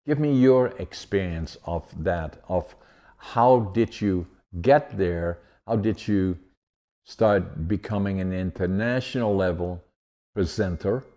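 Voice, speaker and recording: A podcast host, a man, speaks in a fairly low register. His tone is soft and mellow, and he builds long, winding questions in a relaxed conversational style. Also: takes it slowly. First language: Dutch. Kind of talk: conversational interview.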